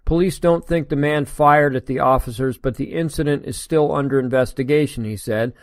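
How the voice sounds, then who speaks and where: A man's voice: medium pitch, a little fast, no room sound, clean studio sound. An American man hosting a podcast, reading an article aloud and breaking off into sarcastic, indignant asides. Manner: sarcastic, indignant asides between read passages. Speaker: podcast host. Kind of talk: casual solo commentary reading an article.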